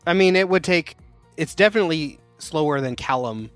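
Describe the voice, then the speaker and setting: A man's voice: on the high side, lively intonation, brisk, dry recording, soft background music. Man running a tabletop game. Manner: explaining rules, with restarts. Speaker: game master.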